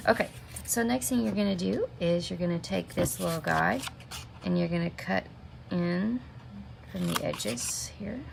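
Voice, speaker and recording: A woman's voice: lowish voice, a little slow, creaky. A middle-aged woman with a slight cold, chatting viewers through a craft project step by step and greeting them by name. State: sniffling with a minor cold. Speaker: stamping craft demonstrator. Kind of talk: live craft tutorial. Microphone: camera mic at desk. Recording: noticeable background noise.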